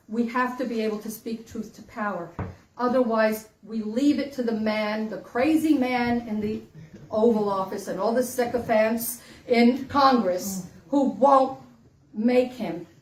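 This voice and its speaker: A woman's voice: fairly high pitch, a little mellow, slight background noise. A woman in her sixties from the Dominican Republic, giving an earnest, emphatic campaign speech at a meet and greet. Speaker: congressional candidate. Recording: echoey room, mic from far off.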